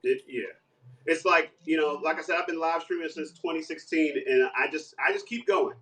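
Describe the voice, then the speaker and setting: A man with a fairly high voice chats easily while he cooks, laughing often and slipping into bits of playful song. He stands some way from the mic, and the kitchen around him sounds echoey. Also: fairly quick, fairly bright tone. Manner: chatty, laughs often, breaks into playful singing. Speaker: guest live streamer and singer. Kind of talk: casual live-stream chat while cooking. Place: home kitchen. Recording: echoey room, voice far from mic.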